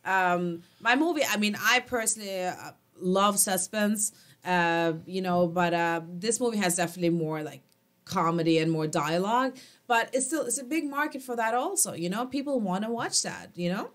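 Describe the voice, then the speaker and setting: A woman's voice: mid-range voice, moderate pace. A woman who is not a native English speaker, a guest filmmaker chatting animatedly in a small radio studio on a desk mic. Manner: animated, filler-heavy, emphatic. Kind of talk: casual interview chat.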